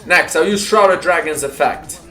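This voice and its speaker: A man's voice: on the high side, a little slow, bright, forceful tone. A man playing a confident card duelist in a fan roleplay, announcing each move formally and theatrically. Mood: determined. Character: confident male card duelist, chanting dramatic summons. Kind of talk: fan roleplay anime-style duel acting. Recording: roomy echo under music.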